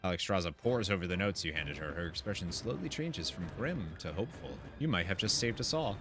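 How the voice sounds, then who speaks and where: A man streams a game with a bright, forceful voice and big swings in intonation. He is casual, slangy and expressive, with game music softly behind him. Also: low voice, fairly quick. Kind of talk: casual gameplay chat, reading quest text aloud.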